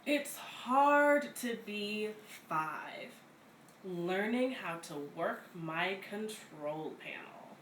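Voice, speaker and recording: Woman, children's story-time host, read-aloud style, casual introductions, medium pitch, echoey room, faint background noise. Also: expressive, drawn-out words, fairly bright tone. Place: echoey room at a community center.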